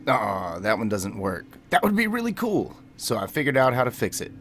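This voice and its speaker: A man's voice: mid-range voice, big pitch swings, normal speed, fairly bright tone, slight background noise. A man hosting a game tutorial, commenting and speaking voice commands to the game. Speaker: gaming video host and player.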